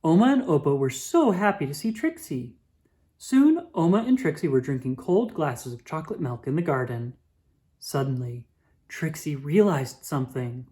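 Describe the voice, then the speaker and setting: A woman reading a children's picture book aloud with expressive, drawn-out emphasis. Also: low voice. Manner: animated, dramatic emphasis with drawn-out words.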